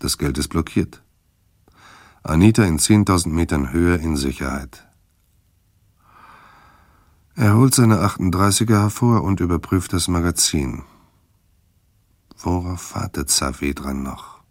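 A man's voice: low voice, a little slow, long pauses, darkish tone, dry recording, clean studio sound. A man narrating a scripted German audio drama in a terse, sober storytelling manner.

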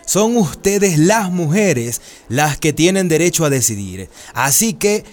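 Highish-voiced, assertive doctor. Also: male voice, very animated, measured pace, faint music behind. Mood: sardonic, assertive. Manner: assertive, explanatory, wry. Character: composed, firm, sardonic male town doctor. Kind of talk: radio drama acting.

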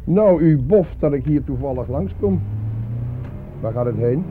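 A man's voice: on the high side, animated, measured pace. A man playing a chatty, grumbling, resigned working-class taxi driver in a radio play. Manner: garrulous, colloquial, shrugging. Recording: narrow-band old radio play, faint noise.

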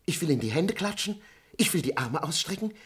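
An actor playing a pious, talkative manservant who argues earnestly like a would-be scholar in a German radio comedy. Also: male voice, on the high side, sing-song, a little fast, fairly bright tone. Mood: excitedly arguing. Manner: earnest, argumentative, pseudo-learned rambling. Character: talkative, pious male servant disguised in doctor's robe.

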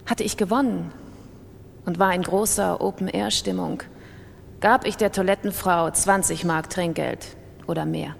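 A woman's voice: steady tone, a little slow, gravelly. A woman giving a scripted reading of first-person accounts in a radio drama. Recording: long reverb, faint rumbling noise.

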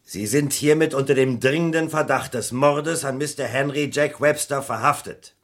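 An actor playing a dogged, insistent British police detective in a German radio crime drama, theatrical and emphatic, with a gravelly voice. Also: male voice, mid-range voice, moderate pace, natural voice. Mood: stern, insistent.

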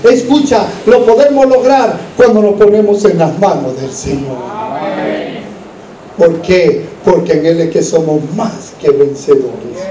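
A man's voice: sits high, a little slow, a crowd in the background. A man preaching an evangelical sermon to a congregation, exhorting them with rhetorical questions and repeated phrases. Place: large echoing room, church service. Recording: roomy echo, distant microphone.

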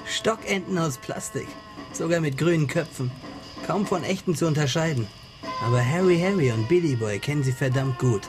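A man's voice: on the high side, moderate pace, music in the background, close to an everyday voice. An actor in a radio crime drama playing an eager, plotting boatman who talks in a keen, colloquial way. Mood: keen, scheming.

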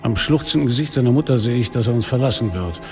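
Schauspieler als abgeklärter Kommissar mit knarziger Stimme. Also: male voice, mid-range voice, lively intonation, quick pace, music in the background, lightly acted. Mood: somber. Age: middle-aged. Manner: blunt, sardonic, literary phrasing. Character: weary, defiant, sardonic middle-aged male police inspector. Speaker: lead actor and first-person narrator. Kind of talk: German radio crime drama, noir narration and dialogue.

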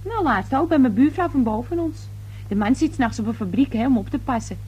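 Blunt, husky housemaid. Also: female voice, high-pitched, animated, fairly quick, muffled recording. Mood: gossipy, suspicious. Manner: coarse, chatty, indignant. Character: gossipy, blunt, suspicious working-class female household help. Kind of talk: radio play acting.

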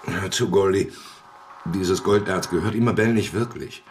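A male actor as a naive, lovesick fellow with a fairly low voice. He pleads earnestly and urgently in a radio crime play, faint music behind. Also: moderate pace, lightly acted. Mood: earnest, agitated. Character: naive, agitated lovesick man, the informant's brother. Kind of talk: German radio crime drama acting.

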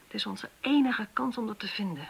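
An actress playing a businesslike, sceptical police detective in a radio play, questioning and giving orders in a matter-of-fact way. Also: female voice, medium pitch, animated, brisk, gravelly, breathy edge, full character voice. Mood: brisk, concerned. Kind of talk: naturalistic radio play acting.

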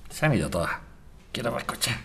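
A man's voice: medium pitch, very animated, quick pace, gravelly, fairly bright tone, slightly rough, full character voice. A man acting an earnest, apologetic married suitor in an amateur radio drama. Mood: earnest, smitten.

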